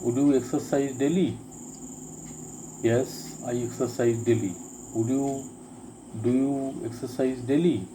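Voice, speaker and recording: A man teaching a spoken lesson, reading each drill sentence twice with deliberate care. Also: medium pitch, fairly even intonation, background noise. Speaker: English teacher. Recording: crickets and insects chirping behind.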